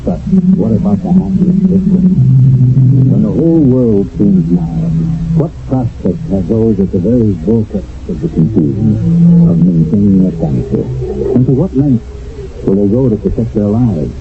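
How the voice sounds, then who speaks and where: An older American man narrating a radio mystery drama as host, in a solemn, deliberate, theatrical way. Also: lowish voice, expressive. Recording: muffled phone-like old radio recording with music. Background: dramatic music.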